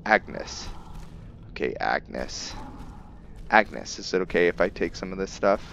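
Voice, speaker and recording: Very deep-voiced man, slow and musing. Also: very animated, gravelly, a bit bright. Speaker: gamer and streamer narrating his roleplay playthrough. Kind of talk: casual gameplay commentary. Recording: muffled audio with faint background noise.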